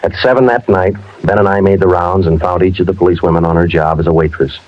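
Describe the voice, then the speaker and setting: An actor playing a terse, businesslike American police detective in a clipped, matter-of-fact 1950s radio crime drama style. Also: male voice, sits low, steady tone, fast talker, close to an everyday voice. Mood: deadpan, grim. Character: terse, businesslike male police detective narrating his case. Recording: dull old radio recording, loud music.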